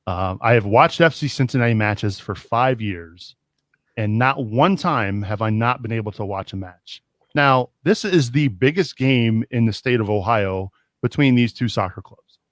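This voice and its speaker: A man with a Midwestern American accent hosting a casual sports talk show, ranting in a heated, animated way. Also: fairly low pitch, no echo, studio quality. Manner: animated, indignant rants. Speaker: podcast host.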